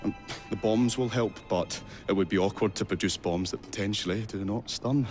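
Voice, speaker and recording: A man's voice: on the low side, moderate pace, darkish tone, faint music behind, natural voice. An actor playing a nervous, eccentric young male inventor who hesitates with many ums and uhs, in a game cutscene. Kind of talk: video game cutscene acting.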